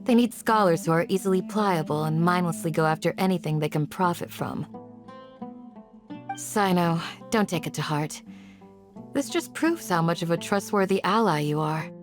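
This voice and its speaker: An actress playing a composed, kindly, thoughtful leader in a video game. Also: female voice, lowish voice, expressive, normal speed, natural voice. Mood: reflective, reassuring. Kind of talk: video game cutscene acting. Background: guitar music.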